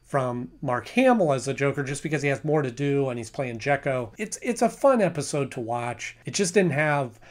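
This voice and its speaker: A man's voice: medium pitch, expressive, normal speed, hardly any pauses. A man in his thirties giving a casual, conversational episode review to camera in a small room at home, often restarting his sentences. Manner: conversational, with frequent restarts and hedging. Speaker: show reviewer.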